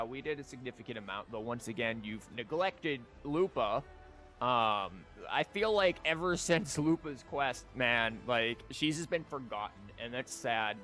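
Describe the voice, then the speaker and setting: A man's voice: medium pitch, a bit bright. Male streamer, casual game commentary, wry and rambling, light music underneath.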